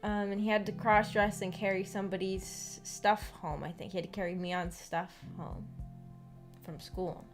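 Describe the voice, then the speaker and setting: A woman with an American accent chatting casually to viewers as a book club streamer, in a giggly, halting, tentative manner. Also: medium pitch, steady tone. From United States. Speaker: streamer hosting a visual novel book club. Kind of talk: casual chat, discussing a story with viewers. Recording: voice over background music. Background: background music.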